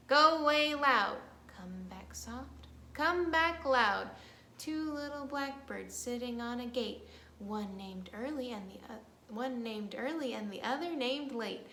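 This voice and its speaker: A woman's voice: fairly high pitch, sharp tone. A woman leading a children's storytime as a librarian, playfully reciting rhymes and action songs in a sing-song way, talking directly to young kids. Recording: echoey room with faint background noise.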